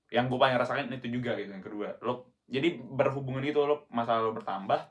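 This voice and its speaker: A man's voice: mid-range voice, fairly even intonation, quick pace, fairly bright tone. A young man from Indonesia, around twenty, chatting casually as a podcast co-host in slangy, rambling speech full of restarts. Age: young adult. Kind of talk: casual chat.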